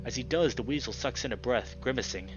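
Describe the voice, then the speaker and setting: A young adult man reading a visual novel aloud with expressive narration and excitable, emphatic commentary. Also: mid-range voice, brisk, background noise. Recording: slightly dull audio, music behind.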